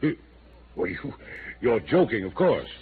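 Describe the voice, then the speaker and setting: An actor playing an earnest, uneasy small-town mayor in a 1950s radio drama, speaking formally with slight hesitations. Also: male voice, mid-range voice, very animated, a little slow, vocal fry. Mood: uneasy, resigned. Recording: noisy, narrow-band old radio recording.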